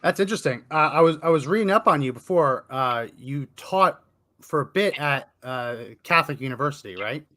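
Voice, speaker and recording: Male podcast host, brisk and upbeat; bright, crisp voice with a dry, close sound. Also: on the high side, animated, dry recording. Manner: brisk and upbeat, announces topics. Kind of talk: casual podcast chat.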